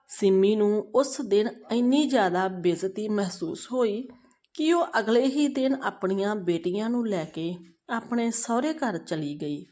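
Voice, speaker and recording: A woman's voice: medium pitch, darkish tone. A woman narrating a moral family story as a storyteller.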